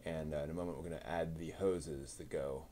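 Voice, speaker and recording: A man's voice: a bass voice, fairly even intonation, fairly quick, mellow, a little hiss or hum. A man thinking aloud and muttering to himself as he puts together a model kit, reading out part numbers in a casual running commentary. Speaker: show host.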